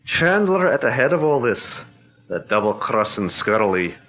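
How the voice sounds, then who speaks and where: A man's voice: mid-range voice, sing-song. An actor playing a blunt, hot-tempered male sidekick, in a 1940s radio drama style. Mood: gruff. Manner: blunt, hearty, quick to anger. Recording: dull lo-fi old radio sound with faint music.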